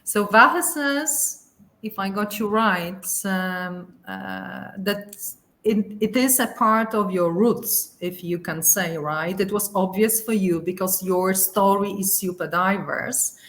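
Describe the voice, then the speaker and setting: Slow-spoken woman moderating a webinar. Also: mid-range voice. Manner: warm, sums up guests' points, asks follow-up questions.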